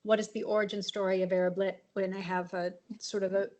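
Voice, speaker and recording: A woman's voice: medium pitch, little pitch movement, fast, darkish tone, highs cut off. A woman translator answering on a webinar panel over a video call, reflective and thinking aloud. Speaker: translator and publisher.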